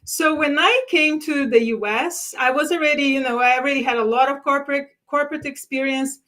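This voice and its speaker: A woman's voice: sits high, fairly quick, a bit bright. A woman from Brazil, a career strategist, answering interview questions in an earnest, reflective way, often restarting her sentences. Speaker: guest expert, career strategist.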